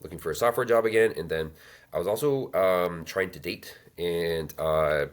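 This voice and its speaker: A man in his thirties telling his life story as an interview guest, halting with many fillers and restarts. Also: sits low. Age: 30s. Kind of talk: reflective personal storytelling.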